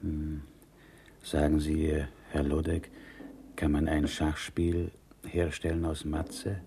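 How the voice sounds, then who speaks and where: A deep, gravelly, devout prisoner. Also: male voice, slow, slight background noise, full character voice. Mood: hesitant, polite. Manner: expressive, ornate inverted phrasing, polite. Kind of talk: 1970s German radio play acting.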